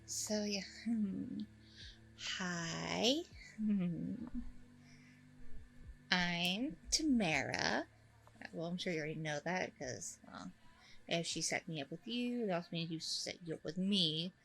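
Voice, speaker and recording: A woman's voice: fairly low pitch, expressive, slow, vocal fry, long pauses, a bit bright, faint music behind, exaggerated voice, low-quality recording. A woman playing a friendly, nervous single mom on a blind date in an ASMR roleplay, rambling casually in a bubbly way. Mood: shy, friendly. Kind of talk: ASMR audio roleplay, conversational.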